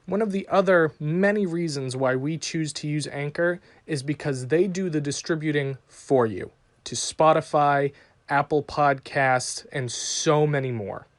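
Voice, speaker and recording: Slow, dry-recorded man hosting a podcast. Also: fairly high pitch, dry recording. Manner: promotional, emphatic. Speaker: podcast host. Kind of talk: scripted sponsor read and show introduction.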